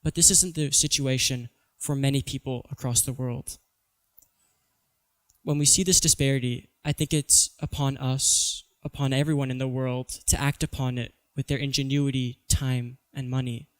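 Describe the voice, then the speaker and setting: Teenage male student speaker, earnest and measured, flat delivery. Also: mid-range voice. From Singapore. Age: teens. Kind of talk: prepared public talk.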